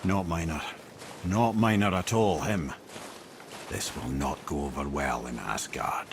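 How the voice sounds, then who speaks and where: An actor playing a wry, learned older storyteller in a video game, with a Scottish accent and eloquent, theatrical, old-fashioned speech. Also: male voice, a bass voice, a little slow, vocal fry, noisy background, exaggerated voice. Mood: wry, cautioning. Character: wry, learned talking head, older male storyteller advisor.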